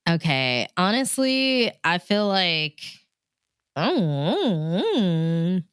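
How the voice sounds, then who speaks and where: A woman with a fairly low, exceptionally smooth and clean voice talks like a radio DJ being interviewed. She is casual and enthusiastic, with loose conversational phrasing, in a dry, close recording. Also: sing-song, extremely slow, no room sound. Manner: informal, enthusiastic, peppered with like and you know. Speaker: radio DJ and guest.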